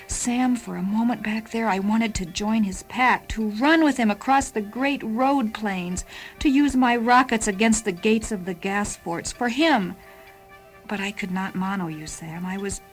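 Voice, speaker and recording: An earnest, gentle machine heroine from a radio drama, a young armed car with a mind and pride of her own voiced by a woman. She chooses her words formally and carefully. Music runs faintly under her voice. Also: mid-range voice, natural voice.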